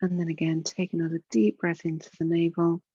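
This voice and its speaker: A woman with a fairly low voice guides a relaxation practice as a yoga instructor. She speaks gently and without hurry, leaving pauses between instructions. The recording is dry and a little dull. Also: little pitch movement, breathy edge, no echo. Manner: soothing, unhurried, gentle guidance with pauses.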